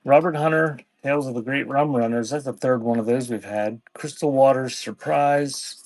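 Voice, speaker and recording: A man running a casual live-stream sale, talking in an offhand, halting way and thanking viewers by name. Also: medium pitch, a little mellow, no echo, rough recording. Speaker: live online seller.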